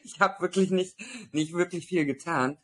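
A woman with a naturally raspy voice speaks as a guest in a casual podcast chat. She talks eagerly about her own experience but stalls often, filling gaps and tagging her sentences with little checks to her listener. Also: on the low side, normal speed, a bit bright. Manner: enthusiastic, hesitant with fillers and tag questions. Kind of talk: personal testimony in casual conversation.

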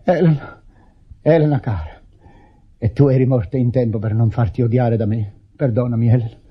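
An actor playing a guilt-ridden, tormented widower, declaiming with anguish in a melodramatic radio play. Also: male voice, mid-range voice, normal speed, slightly rough, close to an everyday voice. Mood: anguished, pleading. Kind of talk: theatrical Italian radio drama acting. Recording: muffled old radio broadcast recording.

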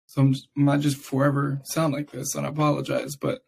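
A raspy-voiced young man hosting a casual podcast, chatting loosely with many fillers. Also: medium pitch, completely flat delivery, a little fast. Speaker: podcast host. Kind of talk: casual chat.

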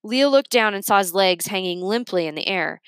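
A woman narrating a children's book aloud in an expressive read-aloud style. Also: medium pitch, moderate pace, clear, resonant tone, no echo.

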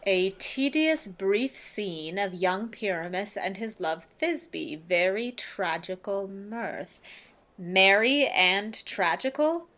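A woman playing a stately, genial and skeptical duke, with measured declamation in a Shakespearean radio play. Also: medium pitch, animated, a bit bright. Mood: genial, curious.

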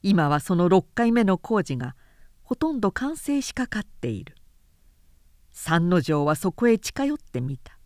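A woman narrator giving a measured, clearly articulated reading of literary fiction in audiobook style. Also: fairly low pitch, very animated, darkish tone, no echo, studio quality.

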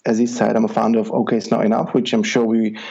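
A man's voice: on the low side, level tone, fast talker, vocal fry, warm tone, husky. A man from the Republic, a life coach talking as a podcast guest over an online call, hesitant with many ums. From Czech Republic. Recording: dull lo-fi online call audio.

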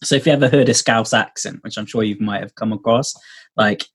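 A man's voice: lowish voice, brisk, slightly rough. A man chatting casually as a podcast guest, rambling with lots of fillers and restarts, heard over a video call. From Wales. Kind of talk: casual testimony chat.

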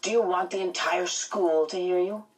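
A stern male school principal, played by a woman narrator, talks low and measured. He pries and admonishes in audiobook style, sounding set back from the microphone. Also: fairly even intonation, recorded from a distance, lightly acted, rough recording. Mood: stern, exasperated. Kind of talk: audiobook character voice.

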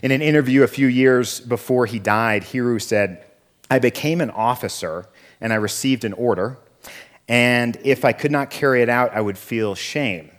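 A man in his thirties, a pastor with a froggy, hoarse voice from a cough, giving a conversational sermon and asking his listeners questions. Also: mid-range voice, moderate pace. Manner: conversational, asks the audience questions. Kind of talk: sermon opening with a story.

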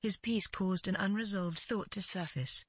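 A woman with a husky voice narrating literary fiction as a scripted read, in deliberate phrases with pauses. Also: medium pitch, a bit bright, no room sound, studio quality.

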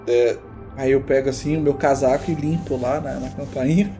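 A man's voice: mid-range voice, steady tone, normal speed, warm tone, soft background music, lightly acted. A man playing an easygoing working man in a tabletop roleplay, hesitant and colloquial as he describes his actions. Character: laid-back, plain-spoken working man, easygoing sidekick. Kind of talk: improvised tabletop roleplay, narrating own actions.

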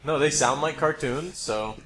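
A man's voice: fairly high pitch, a little slow, fairly bright tone, reverberant, slight background noise. A man co-hosting a podcast in casual, conversational chat. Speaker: podcast co-host.

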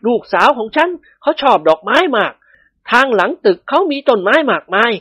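A woman voicing a stern, easily riled older male nobleman who barks and shouts in bursts. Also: fairly high pitch, animated, moderate pace, dry recording, dull audio, pitched above the performer's own voice. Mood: gruff. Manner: gruff barking, shouting in bursts.

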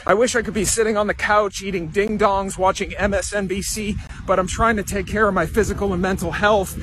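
A man's voice: sits high, a bit bright, a little hiss or hum. A man giving a satirical comedy monologue as a mock-sincere confession.

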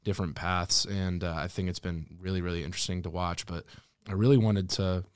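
Smooth low-voiced male podcast host. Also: fairly even intonation, fairly quick, no room sound, clean studio sound. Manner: hesitant, filler-heavy, meandering questions. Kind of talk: casual interview chat. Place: podcast studio.

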